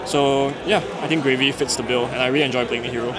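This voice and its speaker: A man from Singapore talking about his tournament games in a relaxed, joking way with plenty of hesitations. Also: mid-range voice, quick pace. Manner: relaxed, joking, many ums and uhs. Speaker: tournament player being interviewed. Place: busy card game tournament venue. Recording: busy crowd noise behind. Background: crowd chatter.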